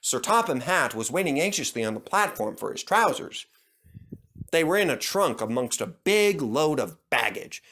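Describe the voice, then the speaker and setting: A man's voice: fairly high pitch, very animated, normal speed, a bit bright. A man narrating a children's story from a script as a storyteller.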